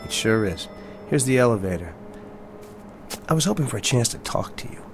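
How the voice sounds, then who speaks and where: A sly garage attendant with a gravelly, creaky voice, pitching deals in colloquial, coaxing talk. It is television drama, with faint music behind him. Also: male voice, very animated, a little fast. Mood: deferential. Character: scheming working-class garage attendant, smooth-talking deal-maker.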